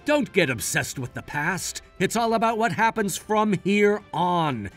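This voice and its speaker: Young anime gangster, earnest, loyal, higher-pitched, very lively intonation, music underneath. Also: male voice, unhurried. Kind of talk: anime voice acting, presenting to viewers.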